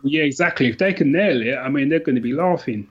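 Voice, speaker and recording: A man's voice: mid-range voice, expressive, quick pace, dull audio, a crowd in the background. A man talking casually and colloquially about books as a podcast guest. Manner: informal and colloquial. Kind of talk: casual book chat.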